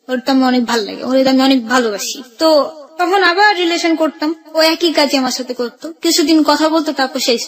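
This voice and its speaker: High-pitched, fast-talking young Bangladeshi woman. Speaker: guest telling own life story. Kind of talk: personal storytelling on a radio show.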